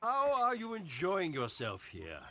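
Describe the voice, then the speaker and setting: A man playing a cold, calculating moneylender in a video game, speaking in a precise, smooth-talking way. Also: high voice, huge pitch swings, measured pace, pushed and bright, slight background noise, full character voice. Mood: sly, businesslike.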